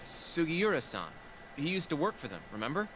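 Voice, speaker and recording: A man's voice: on the high side, big pitch swings, a little fast, background noise. An actor playing an earnest, modest young man in a game, polite and a little hesitant. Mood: curious. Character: earnest, modest young male sidekick in a law office.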